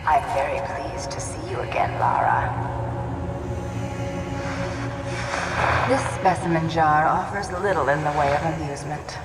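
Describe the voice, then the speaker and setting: An actress playing a captive immortal villainess in a video game, measured, sardonic, formal and cryptic. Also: female voice, low-pitched, recorded from a distance, lightly acted. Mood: sardonic menace. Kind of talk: video game cutscene acting. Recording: echoey long reverb, loud music.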